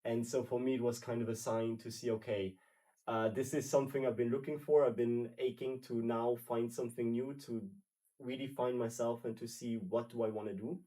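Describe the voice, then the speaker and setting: A man's voice: mid-range voice, fairly even intonation, moderate pace, warm tone. Man speaking from a distance, rambling. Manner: rambling, self-correcting storyteller. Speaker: trainee. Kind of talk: casual roundtable chat.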